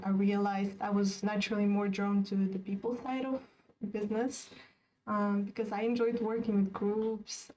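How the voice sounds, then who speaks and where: A young woman from Kazakhstan speaks in a mellow, unhurried voice and hums as she gathers her thoughts. She sounds set back from the mic, with a light hiss of background noise. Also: medium pitch, far from the mic, dull audio. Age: young adult. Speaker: student panelist. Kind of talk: casual webinar discussion. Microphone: video call.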